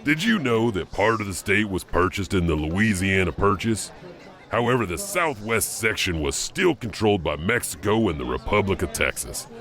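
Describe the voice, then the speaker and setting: A man's voice: on the low side, animated, normal speed, a bit bright. A man playing a folksy janitor storyteller, rambling and mumbling through mouthfuls of food as he hosts an audio drama anthology. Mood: jovial, rambling. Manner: chewing and mumbling between phrases, rambling. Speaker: host narrator of a horror anthology. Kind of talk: in-character scripted hosting.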